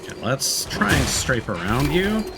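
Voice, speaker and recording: A man's voice: mid-range voice, big pitch swings, unhurried, creaky, loud music behind the voice, low-quality recording. A man chatting casually and thinking aloud as he commentates a video game on a live stream.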